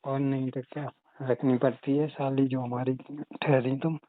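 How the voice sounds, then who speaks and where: A man's voice: mid-range voice, little pitch movement, measured pace, close to an everyday voice. A man playing a flirtatious, possessive brother-in-law, chatting casually and teasingly on a phone call. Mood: teasing, jealous. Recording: tinny narrow phone-call audio.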